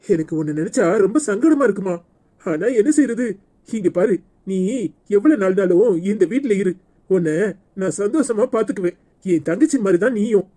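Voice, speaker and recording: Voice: medium pitch, expressive, normal speed, mellow, close to an everyday voice. An actor playing a male village brother-in-law in a melodrama, protective and earnest but short-tempered. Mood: consoling. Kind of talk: melodramatic village serial acting.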